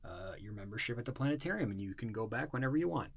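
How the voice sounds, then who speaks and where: A mild, middle-aged suburban dad voiced by a man in a comedic audio drama, with a medium-pitched voice in a dry recording. He is earnest and forgiving, feeling his way through sentences with folksy, stammering hesitation. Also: expressive, rapid-fire, gravelly, no echo. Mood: awkward, kindly.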